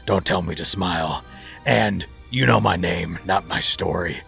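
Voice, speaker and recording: Brief: man putting on a hushed, gravelly, gloomy caped hero narration. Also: a little slow, soft background music, full character voice. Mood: brooding. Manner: low, solemn, dramatic delivery. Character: brooding masked hero voice-over.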